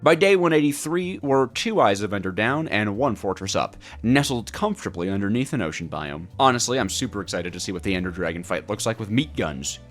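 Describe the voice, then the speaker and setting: A man's voice: on the low side, animated, fairly quick, hardly any pauses, soft background music. A man narrating a gaming video in a casual scripted style, with a playfully dramatic delivery.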